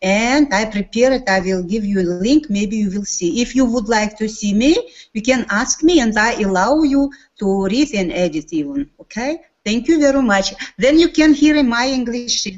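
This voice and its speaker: A woman whose first language is Uzbek, a teacher, introducing herself in halting, deliberate English on a video call. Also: a little high, animated. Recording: dull lo-fi call audio.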